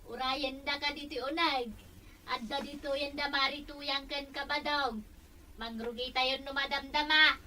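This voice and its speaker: A woman's voice: high voice, little pitch movement, unhurried, sharp tone, a little hiss or hum, rough recording. A woman with an Ilocano accent playing a female village councillor in a comedy drama. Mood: casual.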